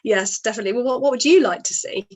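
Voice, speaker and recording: A woman giving voice to a deaf interviewee, speaking in reflective, measured phrases. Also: on the high side.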